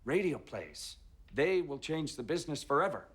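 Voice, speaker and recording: Husky, brash 1920s radio boss. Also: male voice, a little high, big pitch swings, normal speed, a bit bright, heavily acted. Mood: grandiose, persuasive. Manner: slick, domineering, salesman-like. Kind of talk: period TV drama acting.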